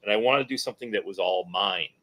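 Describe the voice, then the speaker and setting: A man's voice: lowish voice, quick pace, fairly bright tone. A middle-aged man talking casually as a guest filmmaker on a panel.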